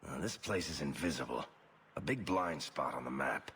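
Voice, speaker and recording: An actor playing a roguish, wry dwarf storyteller in a video game, with a dry, sardonic way of joking. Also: male voice, rumbling low, animated, normal speed, a bit bright, big-room sound, a little hiss or hum. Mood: wry, explaining.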